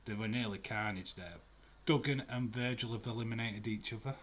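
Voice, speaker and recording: A man's voice: on the low side, fairly quick, a bit bright, breathy edge. A man with a Glasgow accent hosting a live watch-along stream from a room at home, chatting casually with viewers in a rambling way with many fillers. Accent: Glaswegian. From Scotland.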